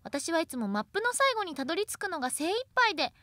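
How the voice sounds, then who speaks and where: A woman's voice: very high-pitched, sing-song, quick pace, pushed and bright, dry recording. A woman co-hosting a radio show, agreeable and reactive, chatting casually and reading listener mail. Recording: dry studio sound, faint music.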